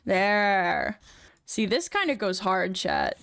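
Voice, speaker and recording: A woman's voice: mid-range voice, animated, slow, fairly bright tone. Young female streamer, feminine voice, casual rambling chat with many fillers. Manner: rambling, filler-heavy, chatty. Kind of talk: casual chat while working on a model.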